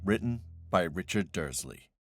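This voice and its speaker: A man's voice: sits low, animated, measured pace. A man reading scripted titles as the announcer of an audio drama. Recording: loud music over voice.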